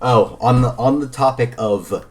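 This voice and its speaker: A man co-hosting a casual gaming podcast, arguing his points haltingly and repeating phrases as he goes. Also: medium pitch, a little mellow. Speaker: podcast co-host.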